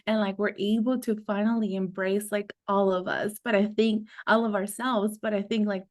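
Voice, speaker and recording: A young adult woman coach who grew up in the United States, chatting casually as a guest. She speaks at an everyday middle pitch, fills her talk with "like", and laughs often. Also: little pitch movement, normal speed. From United States, Mexican parents. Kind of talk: casual podcast conversation.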